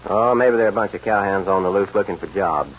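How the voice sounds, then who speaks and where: An actor playing an easygoing, puzzled American rancher with folksy phrasing in a 1940s radio western. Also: male voice, low voice, fairly quick, natural voice. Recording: noisy, muffled 1940s radio recording.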